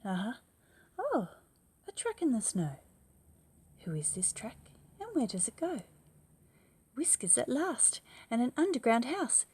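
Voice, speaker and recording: Woman doing a squeaky, excited little monster girl. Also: slow, full character voice. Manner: high, excited and exclaiming. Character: small curious brave young female monster. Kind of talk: storybook read-aloud.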